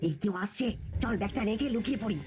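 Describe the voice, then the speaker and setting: A woman's voice: on the high side, quick pace, over loud music, close to an everyday voice. A woman voicing a bold, scheming young boy in a cartoon, eager and conspiratorial. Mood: curious, secretive.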